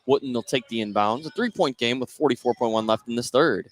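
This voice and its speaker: A man calling live play-by-play of a high school basketball game for radio. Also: quick pace. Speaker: play-by-play commentator. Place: courtside at an indoor basketball game.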